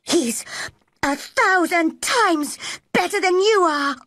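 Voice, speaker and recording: A cross, stubborn young girl as a woman narrator plays her, high in pitch with lively swings. She is defiant and sharp-tongued, a storybook child who will not back down. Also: slow, no echo, studio quality, full character voice. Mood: indignant.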